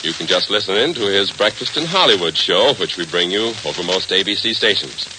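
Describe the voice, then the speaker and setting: A man's voice: deep voice, animated, brisk, background noise. An American man announcing in an emphatic, theatrical 1940s radio style, on a vintage broadcast recording.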